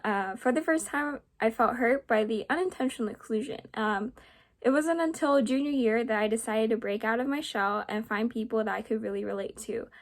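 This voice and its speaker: A teenage girl, a high school student, reading her personal essay aloud, hesitant, with fillers and small laughs. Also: brisk. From United States. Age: teens.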